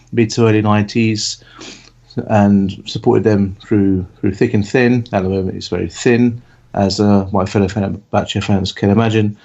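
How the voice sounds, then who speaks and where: Man, late 30s, from England, co-host, rambling, hesitant, low monotone, muffled lo-fi sound. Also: creaky. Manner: rambling, hesitant, many ums. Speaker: podcast co-host. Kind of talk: casual podcast chat. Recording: dull lo-fi audio.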